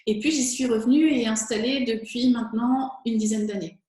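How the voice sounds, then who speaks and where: A woman's voice: on the high side, fairly even intonation, normal speed, warm tone. A French woman working as a speaking coach, answering interview questions with enthusiasm and occasional fillers. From France. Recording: very echoey room.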